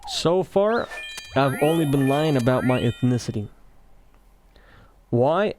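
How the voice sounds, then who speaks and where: Young man, faint Russian accent, meandering chatty commentary, mid pitch, faint background hiss. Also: expressive, slow. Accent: slight Russian. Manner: talkative, meandering, self-interrupting. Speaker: gaming commentary creator. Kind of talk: rambling casual commentary over gameplay. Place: office.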